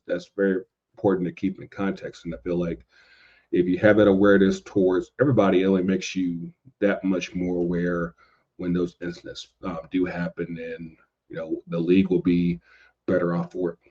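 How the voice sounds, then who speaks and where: Deep-voiced man, halting and mellow. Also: flat delivery. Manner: halting, circling back over points. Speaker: podcast guest commentator. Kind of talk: casual podcast discussion. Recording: dull, lo-fi voice track.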